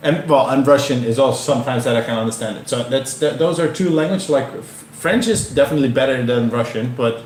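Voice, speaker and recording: A man's voice: mid-range voice, rapid-fire, a little mellow. A man in his thirties, a native German speaker, chatting casually in English on a livestream from his living room, rambling and correcting himself as he goes. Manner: chatty, rambling, self-correcting, gestures a lot. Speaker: livestream host. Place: living room with framed photos on wall. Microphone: camera-mounted mic, seated on couch. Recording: echoey room with faint hiss.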